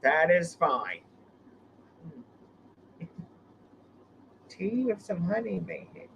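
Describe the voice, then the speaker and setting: Hoarse man hosting a tech stream. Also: high voice, very animated, drawn-out words, a bit bright, a little hiss or hum, rough recording. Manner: rambling, with restarts and fillers. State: hoarse from illness. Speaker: live stream host. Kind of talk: casual live stream chat.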